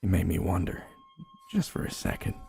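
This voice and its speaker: A man's voice: sits low, sing-song, creaky. A man narrating a first-person horror story in a somber, dramatic manner. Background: slow dark music.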